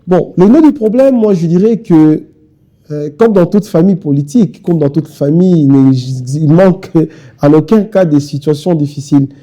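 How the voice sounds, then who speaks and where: A man's voice: a little high, normal speed, mellow. A young man from Kinshasa with a Congolese French accent, a party spokesman speaking insistently in a televised interview. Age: young adult. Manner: insistent, repetitive speechmaking.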